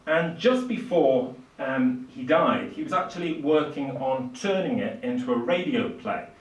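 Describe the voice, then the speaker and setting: Older male lecturer, halting and thoughtful phrasing, mid-pitched, heard at a distance in a reverberant small room. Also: lively intonation. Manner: hesitant, halting delivery with frequent pauses. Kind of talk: public lecture, lightly improvised. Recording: echoey room, distant microphone.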